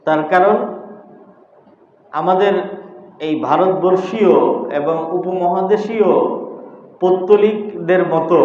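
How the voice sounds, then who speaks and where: A male preacher delivers a religious sermon in a high voice. He is emphatic and instructive, pausing for weight and putting questions to his listeners. The sound is muffled and echoes heavily around a hall. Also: a little hiss or hum. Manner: emphatic, didactic, deliberate pauses with rhetorical questions. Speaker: preacher, Islamic scholar. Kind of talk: Bengali waz sermon to a congregation.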